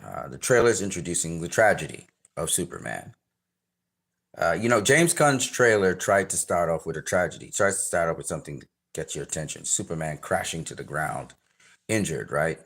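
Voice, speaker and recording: Low-voiced Trinidadian man rambling through a trailer. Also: expressive, fairly quick, breathy edge. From Trinidad and Tobago. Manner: rambling, many fillers and restarts, gives shout-outs. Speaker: channel host reviewing a trailer. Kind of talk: casual reaction commentary.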